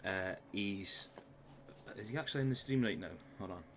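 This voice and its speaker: A man's voice: on the low side, moderate pace, slight background noise. A Scottish man running a tabletop game on a live stream, bantering and swearing before a recap. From Scotland. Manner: swears a lot, jokey banter. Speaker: game master. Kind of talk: casual chat and game recap. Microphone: live stream mic.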